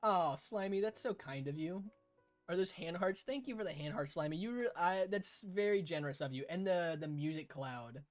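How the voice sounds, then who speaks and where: A hoarse young man live-streaming a game, chatting casually with viewers, chuckling and thanking them. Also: high voice, normal speed, no echo, faint music behind. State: hoarse, raspy throat.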